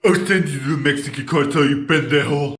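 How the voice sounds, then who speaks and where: A man voicing a cocky, crude cartoon cowboy with a teasing, drawn-out delivery in a fan parody dub. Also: on the high side, fairly bright tone, close to an everyday voice. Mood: threatening.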